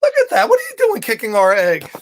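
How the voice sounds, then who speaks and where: An excitable duck from a picture book, acted out by a man reading aloud. He blusters and huffs, exclaiming everything in a raised pitch. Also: fast. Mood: indignant. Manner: exclamatory, huffy.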